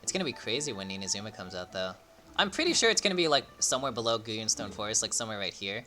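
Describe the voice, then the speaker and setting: Young man, game streamer, bright voice, very lively pitch, rambling casual commentary, faint music behind. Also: fast talker. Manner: rambling, excitable, mild swearing.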